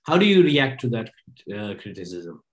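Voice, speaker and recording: A man asking interview questions in a hesitant, halting way with many fillers. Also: fairly low pitch, very animated.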